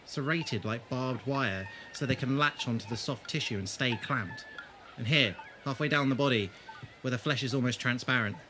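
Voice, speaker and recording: An actor playing a sardonic, sharp-minded male adventurer in a fan audio drama, dry and pointed in delivery. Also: mid-range voice, noisy background, close to an everyday voice. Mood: analytical. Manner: dry, pointed, deliberate when pressing.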